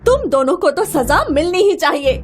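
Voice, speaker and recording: A woman's voice: high-pitched, very animated, normal speed, music in the background. A woman playing a strict, scolding village mother in a cartoon moral story, speaking emphatically. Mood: angry. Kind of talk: animated moral story acting.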